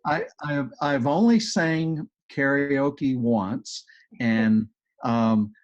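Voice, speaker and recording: A man's voice: mid-range voice, very slow. Texan man explaining over a muffled webinar call. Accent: Texas. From Texas. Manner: relaxed, chatty, many ums and restarts. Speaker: agile coach, webinar presenter. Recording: dull, muffled web call audio.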